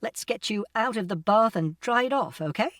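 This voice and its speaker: A distraught mother, as an audiobook narrator acts her out, fiercely protective of her child. The delivery swings widely in pitch, with a dry sound. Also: expressive, normal speed, fairly bright tone, no echo, far from the mic, close to an everyday voice. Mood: gentle. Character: distraught, protective mother, tearful then furious. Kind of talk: audiobook character acting.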